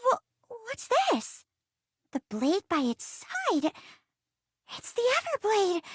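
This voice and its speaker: A woman's voice: extremely high pitch, huge pitch swings, slow, no room sound, studio quality, exaggerated voice. A woman voicing a small, earnest, plucky young female forest sprite in a video game, speaking in grand, melodramatic mock-archaic phrases. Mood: excited, triumphant. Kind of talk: theatrical fantasy role-play in a video game.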